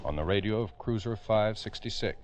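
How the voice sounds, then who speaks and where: An actor as a grave, formal narrator of a scripted old-time radio crime drama. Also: male voice, fairly low pitch, brisk, a little mellow, natural voice. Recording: dull vintage radio recording.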